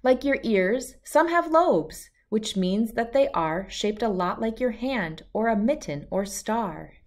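A woman's voice: on the high side, animated, takes it slowly. A middle-aged woman reading a rhyming children's picture book aloud in a sing-song way that follows the verse.

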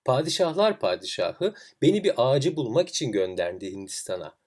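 A man voicing a weary, humble seeker in a fairy tale, pleading and sorrowful in a halting manner. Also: medium pitch, big pitch swings, a little mellow. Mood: pleading despair.

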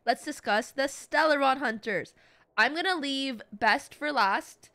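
A woman's voice: sits high, unhurried, pushed and bright. A young woman doing casual live game commentary in a playful, slangy, dramatic way. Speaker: streamer.

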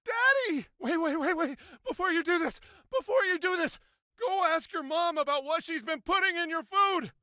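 High-pitched, high-strung cartoon American dad. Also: male voice, animated, normal speed, bright, forceful tone, no echo, studio quality, full character voice. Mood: panicked, pleading. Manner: swings between sugary pet names and shrill cursing. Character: high-strung cartoon suburban dad, foul-mouthed and falsely cheery. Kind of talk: animated comedy video game acting.

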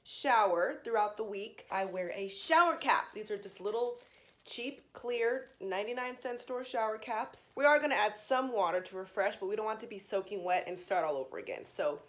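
A woman's voice: medium pitch, normal speed, a bit bright. A woman in her thirties giving a chatty, upbeat hair tutorial to the camera in a small studio. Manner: chatty, upbeat, coaching viewers. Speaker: beauty vlogger. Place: small indoor studio with grey backdrop.

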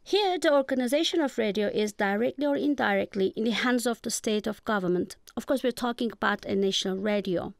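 A woman's voice: fairly high pitch, fairly quick, gravelly, few pauses, dry recording. A woman with a Turkish accent, a guest professor giving explanatory answers in a radio interview.

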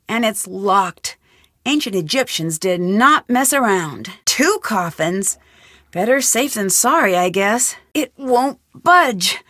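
A bright, inquisitive young girl detective for a mystery game, her voice sitting a touch high and swinging freely up and down as she muses and questions. It is recorded close and dry in a studio, with no room sound around it. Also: no echo, full character voice. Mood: curious. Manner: inquisitive, thinking aloud while investigating. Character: young female amateur detective, curious and persistent. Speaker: game protagonist. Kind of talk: game voice acting. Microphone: studio microphone.

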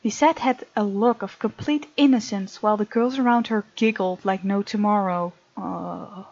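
A woman hosting a let's play, reading game text aloud with playful, expressive asides. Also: medium pitch, normal speed, gravelly, lo-fi sound. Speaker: gaming video host.

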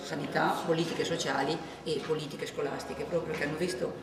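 A woman's voice: low voice, steady tone, fairly quick. A middle-aged woman presenting her own project in earnest, repeating phrases for emphasis. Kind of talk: personal presentation speech. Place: office room with computers. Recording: echoey room from far off, with crowd.

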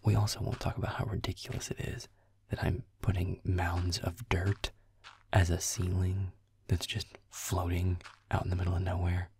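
A man playing a grumpy young werewolf boyfriend in a roleplay audio, muttering deadpan, sarcastic, sweary video game commentary in a breathy, gravelly half-whisper. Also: low voice, moderate pace, dry recording, full character voice. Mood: muttering, unimpressed. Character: grumpy young male werewolf boyfriend, gamer, sulky and aloof.

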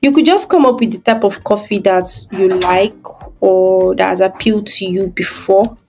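A woman's voice: medium pitch, moderate pace, a little mellow, soft background music. A woman giving a screen-recorded design tutorial, thinking aloud step by step as she works.

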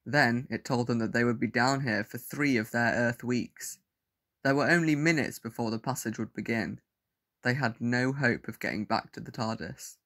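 A man with a medium-pitched voice reads scripted fiction aloud. He is the narrator of an audio story. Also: normal speed, fairly bright tone.

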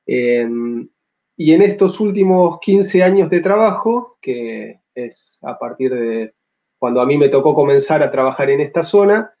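A man in his thirties with an Argentine accent, giving a measured academic slide talk over a video call, pausing often with filler sounds. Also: fairly high pitch, lively intonation. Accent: Argentine Spanish. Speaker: paleontology lecturer. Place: home room on a video call. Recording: slightly dull lo-fi audio.

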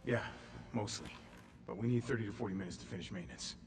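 A man plays an easygoing young soldier in a video game. His lowish voice is gravelly and casual, cocky yet conciliatory, with loud music behind. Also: fast, lightly acted. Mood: calm, conciliatory. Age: young adult. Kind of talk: video game cutscene acting.

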